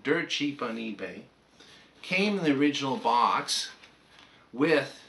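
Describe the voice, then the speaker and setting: A man's voice: medium pitch, sharp tone. An older man with a Canadian accent, chatting casually and anecdotally as he reminisces like a hobbyist presenting on a vlog.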